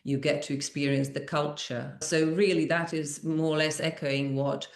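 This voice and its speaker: A middle-aged woman from Croatia, a language school founder speaking in a slightly halting way with fast bursts. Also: low-pitched, steady tone, roomy sound. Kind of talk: podcast interview.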